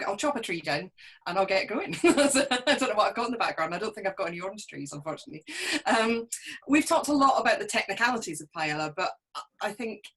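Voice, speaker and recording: A woman with a slightly husky voice hosts an online panel, putting questions to the guests. She is friendly and laughs easily between questions. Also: mid-range voice, a bit bright. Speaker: panel moderator.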